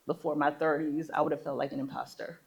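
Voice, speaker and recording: Candid, wry woman with a creaky voice. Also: low-pitched, level tone, quick pace. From born in Guyana, Guyanese immigrant family. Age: 40s. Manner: candid, wry, chuckles at own asides. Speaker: interview guest, designer. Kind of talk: casual conversational interview, storytelling.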